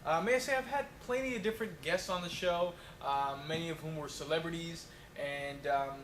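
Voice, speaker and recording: A man's voice: fairly high pitch, a bit bright. A man playing a friendly, polite talk show host in a homemade mock interview, chatty and hesitant, on echoey, noisy audio.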